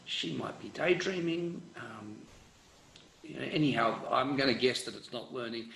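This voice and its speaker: A man's voice: mid-range voice, moderate pace, gravelly, reverberant, slight background noise, rough recording. A middle-aged man with an Australian accent, an education professor giving an online presentation with many fillers and restarts. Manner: relaxed, rambling lecture with many fillers and restarts.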